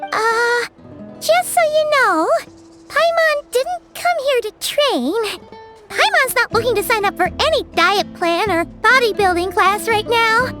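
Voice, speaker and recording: A woman voicing a tiny, excitable fairy companion who talks about herself in the third person, in video game dialogue. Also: very high voice, a little slow. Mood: chirpy. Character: tiny floating fairy companion, chirpy, excitable, self-centered. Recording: game soundtrack music behind.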